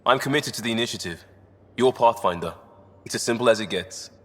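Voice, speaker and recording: An actor playing a loyal, eager ex-cop crisis responder in a video game, earnest and plain-spoken, in short clipped phrases. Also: male voice, medium pitch, fairly quick, lightly acted. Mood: frank, upbeat. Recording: reverberant hall sound.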